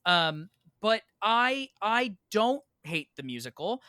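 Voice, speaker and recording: A man's voice: high-pitched, very animated, takes it slowly, pushed and bright, dry recording, clean studio sound. An American man chatting casually as a podcast guest, emphatic and prone to repeating words. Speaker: podcast guest, theatre performer.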